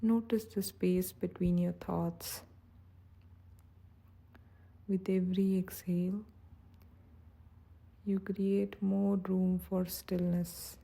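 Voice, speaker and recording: A woman narrates a guided meditation in a very mellow, soft and breathy voice. Her pitch sits a little low and stays quite even. She speaks gently and slowly, with a soothing, unhurried manner.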